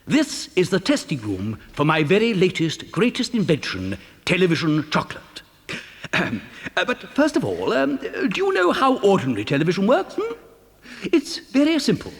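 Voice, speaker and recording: A man playing an eccentric, excitable chocolate factory owner and inventor in a children's audio drama, theatrical, with stammering, hesitant repetitions. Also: high voice, normal speed, heavily acted. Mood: showy, explaining. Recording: long hall-like reverb.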